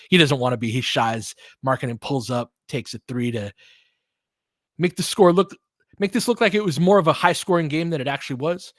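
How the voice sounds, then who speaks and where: Relaxed young man calling a game live. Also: medium pitch, no echo, studio quality. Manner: relaxed, conversational, answers chat by name. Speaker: host of a live game watch-along stream. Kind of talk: casual live play-by-play and chat with viewers.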